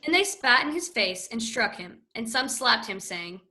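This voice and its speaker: Woman narrator, church reading; bright, slightly high, steady. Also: moderate pace. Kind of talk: liturgical scripture narration.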